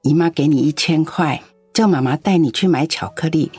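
A woman's voice: deep voice, normal speed, darkish tone, breathy edge, dry recording, soft background music. An actress playing a lonely, doting elderly grandmother in a radio drama. She talks in a coaxing, chatty way and coughs now and then. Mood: affectionate. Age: older.

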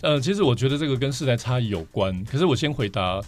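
Fast-talking Taiwanese Mandarin man, explaining casually. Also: medium pitch, lively intonation, soft background music. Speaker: podcast guest, vinyl record collector. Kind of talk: casual podcast interview, explaining and recounting.

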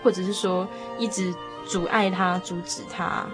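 A woman sharing reflections in a casual panel discussion, speaking in an earnest, expressive way. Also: lowish voice, normal speed. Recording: music clearly behind the voice.

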